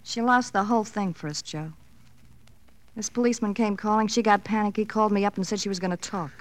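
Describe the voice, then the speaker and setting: A woman's voice: mid-range voice, fairly quick, close to an everyday voice, poor audio. An actress playing a brittle, scheming, cornered woman in a 1950s radio crime drama, theatrical and bitterly flippant. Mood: bitter, pleading.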